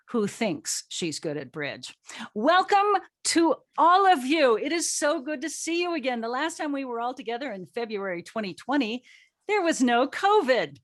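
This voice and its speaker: A woman hosting a panel in a fairly high voice. She reads her introduction with theatrical emphasis and slips in playful, self-deprecating asides. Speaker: moderator of a cast panel. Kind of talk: scripted introduction with joking asides.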